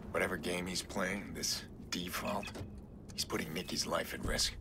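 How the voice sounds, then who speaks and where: An actor playing a hard, guarded vigilante hacker in his thirties in a video game, speaking tersely in a gravelly voice. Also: steady tone, moderate pace, noisy background, natural voice. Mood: grim resolve. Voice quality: low, gravelly.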